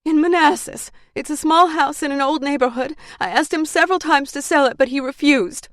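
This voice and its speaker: A woman narrator voices an anxious wife under questioning, her voice lifted high and strained. She stays defensive and pleading. The audiobook sound is dry and close. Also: brisk, a bit bright, no echo.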